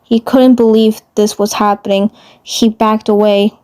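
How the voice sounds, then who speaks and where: A woman narrating a fan fiction story, reading haltingly and often repeating phrases. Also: medium pitch, level tone, warm tone.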